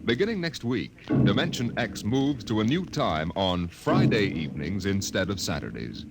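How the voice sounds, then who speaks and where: Deep, dramatic male radio announcer. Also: animated, very noisy. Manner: dramatic, grand, measured. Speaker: announcer and narrator. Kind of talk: 1950s radio scripted narration. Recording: old radio broadcast over loud music.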